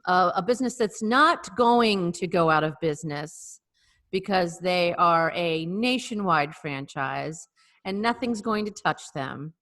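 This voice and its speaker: Low-voiced woman, wry and sarcastic. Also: animated, measured pace, fairly bright tone. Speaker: co-host. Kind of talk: casual chat over video call.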